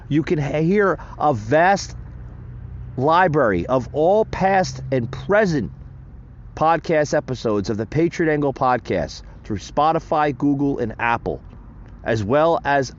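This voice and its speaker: Emphatic man, lively pitch. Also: highs cut off, slight background noise. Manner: emphatic, rousing delivery addressing "folks". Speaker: podcast host. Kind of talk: solo political podcast monologue.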